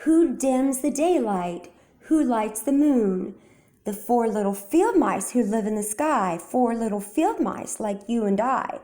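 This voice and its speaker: A woman reads the part of a dreamy male field mouse who is a poet. The voice sits a little high and moves deliberately, calm and simple, as in a children's storybook. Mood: theatrical, reciting. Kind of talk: children's storybook read-aloud.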